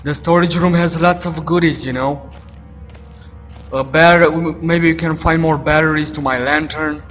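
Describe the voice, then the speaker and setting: A man with a Romanian background giving loud, goofy commentary while playing a horror game, taunting the enemies. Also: a little high, steady tone, moderate pace. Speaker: let's play gamer. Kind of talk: casual gameplay commentary. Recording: roomy reverb with game music behind.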